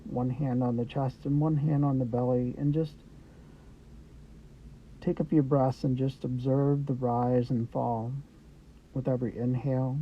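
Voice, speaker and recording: A man leads a guided meditation at a very measured, slow pace, leaving space between short phrases. His instructions are gentle and unhurried, in a mid-pitched voice. The recording is somewhat dull and lo-fi, with light noise behind him. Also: little pitch movement. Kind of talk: guided meditation, Yoga Nidra. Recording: dull lo-fi audio with background noise.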